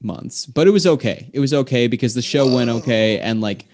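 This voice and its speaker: A man's voice: medium pitch, brisk, darkish tone. A young man, a singer-songwriter guest, chatting casually and telling long, rambling stories packed with filler words. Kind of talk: casual chat.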